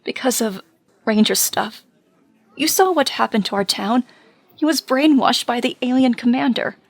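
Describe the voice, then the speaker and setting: A grieving young heroine of a superhero audio drama, acted by a woman. The voice sits a little high with a breathy, husky edge. The delivery is earnest and hesitant. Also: moderate pace. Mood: pleading, sad.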